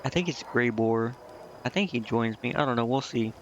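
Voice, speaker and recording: Muffled, gravelly man rambling. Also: medium pitch, normal speed. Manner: rambling, thinking out loud. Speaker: video game streamer. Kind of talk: casual gameplay commentary, reading game dialogue aloud. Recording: muffled mic with clear background noise.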